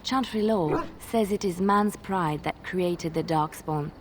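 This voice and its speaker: Fantasy game bard: young woman, devout, measured and solemn, on the lower side. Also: slight background noise. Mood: solemn storytelling. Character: earnest, devout young female bard telling sacred legends. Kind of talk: dramatic storytelling in a fantasy video game.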